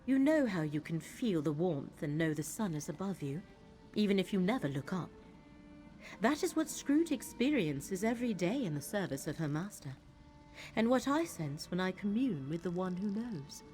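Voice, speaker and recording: An actress playing a grave, troubled woman mystic in a fantasy video game, speaking in a measured, formal way. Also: lowish voice, sing-song, a little mellow, faint music behind. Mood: wistful, troubled. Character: grave, troubled woman mystic serving an eldritch fate god.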